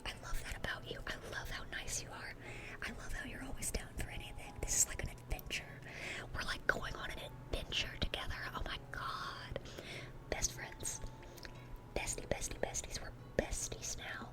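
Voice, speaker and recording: A woman playing a dorky, eager young demon in a whispered ASMR roleplay, rambling sweetly and often repeating her words. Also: lightly acted.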